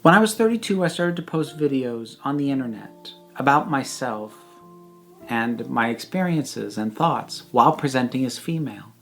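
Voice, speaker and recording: A speaker in their thirties giving a prepared personal reflection, with measured, emphatic phrasing and deliberate pauses. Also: mid-range voice, expressive, fairly bright tone, faint music behind. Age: 30s.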